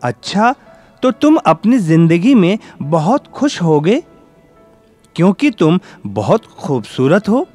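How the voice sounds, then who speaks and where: A man voicing a curious, admiring crow in a children's fable, earnest and expressive. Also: high voice, slow, faint music behind, heavily acted.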